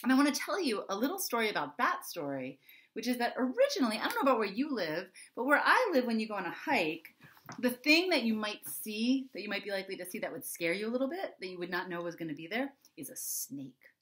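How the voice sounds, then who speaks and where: Animated woman reading to young children. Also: on the high side, fast talker. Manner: explains simply, addressing children directly. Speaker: children's author reading own book. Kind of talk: expressive read-aloud and chat for young children. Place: small furnished room at home, indoors.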